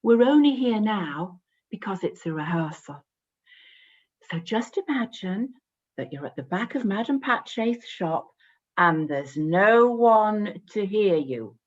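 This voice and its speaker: A woman playing a brisk but patient theatre director in a naturalistic play, explaining and coaxing. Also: medium pitch, animated. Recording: dull muffled video-call audio.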